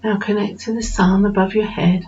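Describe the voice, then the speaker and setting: A woman narrating a guided meditation in a slow, soothing manner with unhurried pauses. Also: medium pitch, little pitch movement, warm tone, a little hiss or hum. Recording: dull lo-fi recording with music behind.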